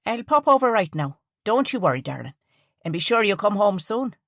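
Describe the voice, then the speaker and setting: A woman's voice: mid-range voice, big pitch swings, a little fast, fairly bright tone, no echo, studio quality, voice pushed up. Woman doing a chatty Northern Irish shopkeeper. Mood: fussing, homely. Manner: homely, folksy phrasing. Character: warm chatty older village shopkeeper woman.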